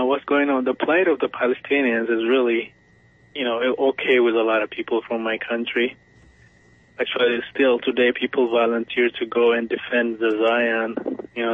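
A man's voice: medium pitch, dry recording. A man from Ethiopia calling into a radio show, speaking earnestly and hesitantly and often repeating words. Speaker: caller. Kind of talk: phone-in call. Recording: tinny phone line.

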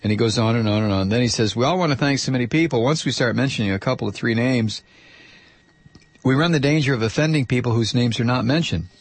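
Gravelly, ranting talk radio man. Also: medium pitch, fast talker, no echo. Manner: angry, ranting, sarcastic. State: losing his voice. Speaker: radio talk show host. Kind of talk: political talk radio monologue.

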